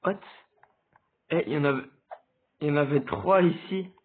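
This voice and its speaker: A man with a cold giving hesitant, casual commentary over a game, with sudden excited outbursts. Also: on the high side, moderate pace, slight background noise. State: stuffy with a cold. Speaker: gaming video creator. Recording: tinny phone-like recording.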